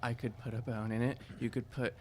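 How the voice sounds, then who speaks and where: A man chatting casually as a tabletop game player, joking in a deadpan way. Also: medium pitch, fairly quick, a little hiss or hum. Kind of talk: casual improvised game chat.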